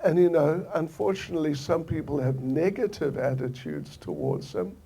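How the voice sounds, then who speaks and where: An older man from New Zealand giving a devotional scripture lecture, telling the story gently and slowly with soft laughs and repeated phrases. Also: a little high, big pitch swings, creaky, breathy edge.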